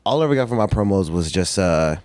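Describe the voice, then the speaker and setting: Soft-spoken young man, laughing often. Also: animated, fast talker, no echo, studio quality. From North Carolina. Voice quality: naturally high-pitched. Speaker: wrestler, podcast guest. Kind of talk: casual podcast chat.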